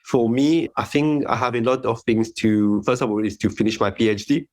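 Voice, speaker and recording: A man's voice: medium pitch, fairly even intonation, moderate pace, a little mellow. A man from Mauritius with a French-Mauritian accent, speaking casually as an interview guest in a chatty way, often with a chuckle. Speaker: interview guest, care home manager. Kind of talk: casual interview answers with personal anecdotes.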